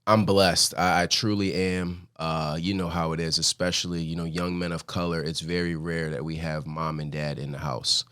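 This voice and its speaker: A man's voice: low voice, level tone, normal speed, hardly any pauses, a bit bright, clean tone. An American man from Philadelphia talking casually and reflectively as a guest in a studio interview. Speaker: guest, former college athlete and mentor. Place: podcast studio.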